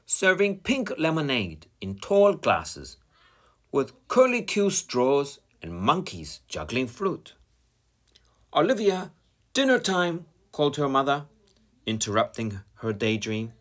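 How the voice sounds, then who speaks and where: Slow, husky man reading a storybook. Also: medium pitch, fairly bright tone. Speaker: storybook reader. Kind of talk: children's picture book read-aloud.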